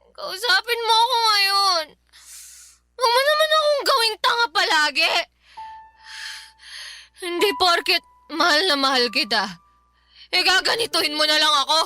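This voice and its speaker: High-voiced drunk heartbroken Filipina. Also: female voice, sharp tone, heavily acted. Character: drunk, heartbroken, pleading young woman, clingy and dramatic. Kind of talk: Filipino radio drama acting.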